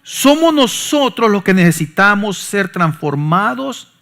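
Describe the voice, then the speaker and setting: A middle-aged pastor, preaching a sermon that swings from forceful emphasis to soft, low asides. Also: male voice, big pitch swings, measured pace, vocal fry. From Honduras. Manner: preaching with sudden soft drops and rising emphasis.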